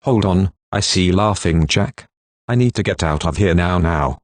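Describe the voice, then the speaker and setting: A man as a deep, formal bulletin reader. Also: unhurried, dry recording. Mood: urgent. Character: male news correspondent and emergency alert announcer, formal. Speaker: correspondent and alert announcer. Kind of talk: scripted emergency broadcast.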